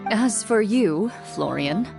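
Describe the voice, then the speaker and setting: An actress playing a composed, stoic champion duelist in a video game, calm and understated. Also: female voice, mid-range voice, takes it slowly, vocal fry, over music. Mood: stern, forgiving. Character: composed, stoic female champion duelist and monster hunter, matter-of-fact.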